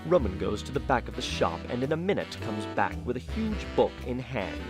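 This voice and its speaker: A man narrates a fan audio drama with an animated, rising-and-falling pitch. Music plays clearly beneath him, with instruments. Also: brisk. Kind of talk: story narration.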